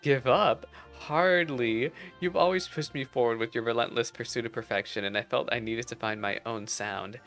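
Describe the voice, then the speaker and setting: A man's voice: mid-range voice, moderate pace, hardly any pauses, fairly bright tone, faint music behind, natural voice. Man reading as an earnest, proud young woman musician. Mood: determined, fond. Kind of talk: reading fantasy game dialogue aloud.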